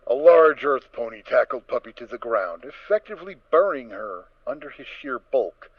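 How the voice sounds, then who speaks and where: A man narrating a scripted story in a theatrical, expressive storytelling manner. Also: mid-range voice, moderate pace, dry recording, dull audio.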